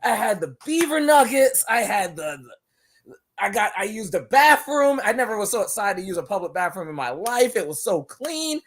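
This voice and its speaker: A man's voice: high voice, moderate pace. A man from Barbados, a wrestler guest on a podcast, talking casually and telling stories with lots of laughter and repeated words. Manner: animated storytelling, laughing often, repeats words.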